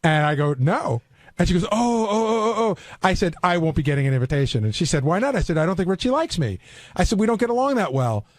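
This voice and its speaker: A man's voice: high-pitched, big pitch swings, fast, no echo. A man in casual on-air discussion, earnest and explanatory, circling back over his phrases as he tells things.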